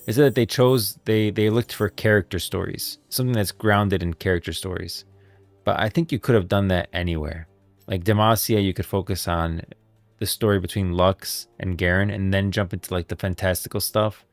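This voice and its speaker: A man's voice: deep voice, steady tone, moderate pace, dry recording, faint music behind. A man hosting a livestream, talking casually about game lore and rambling as he thinks aloud. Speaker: livestream host.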